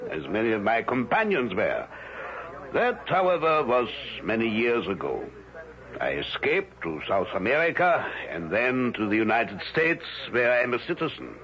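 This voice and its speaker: Casting: unrepentant, menacing former Nazi elder, radio play, formal and deliberate, highly animated pitch on dull noisy tape. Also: male voice. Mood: formal, guarded. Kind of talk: 1970s radio drama acting.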